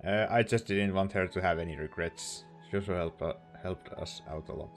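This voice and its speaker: Very low-voiced woman gamer. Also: moderate pace. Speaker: let's play streamer. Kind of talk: casual game commentary. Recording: game music faintly behind.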